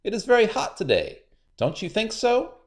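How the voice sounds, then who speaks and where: A sly storybook trickster, acted out by a man, speaks far up in a high register. The delivery swoops about with exaggerated, wheedling insistence, like a schemer pushing someone along. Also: huge pitch swings, moderate pace, heavily acted. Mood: falsely friendly. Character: sly, lazy trickster spider, falsely friendly, easily irritated.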